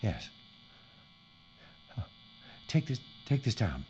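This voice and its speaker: An actor playing a gruff but courteous London police inspector in an audio drama, with a brisk, commanding, proper manner. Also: male voice, fairly low pitch, big pitch swings, darkish tone, exaggerated voice. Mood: grim. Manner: brisk, commanding, proper British turns of phrase. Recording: dull, noisy recording with clicks.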